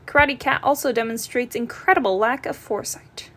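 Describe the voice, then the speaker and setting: A woman reading a comic book aloud in an expressive storytelling style. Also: on the high side, a little fast.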